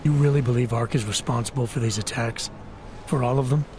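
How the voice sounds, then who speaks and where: A man's voice: medium pitch, steady tone, a little fast, darkish tone, slight background noise, lightly acted. An actor playing a terse, skeptical male special agent in a video game, dry and questioning. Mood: doubtful. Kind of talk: video game cutscene acting.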